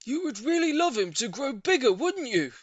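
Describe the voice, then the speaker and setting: A man voicing a shy, earnest, politely lovestruck older gentleman with a solemn, emphatic delivery in an audiobook. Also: very high-pitched, very animated, normal speed, no echo, dull audio, pitched above the performer's own voice, full character voice. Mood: scheming, excited. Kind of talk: audiobook character voice.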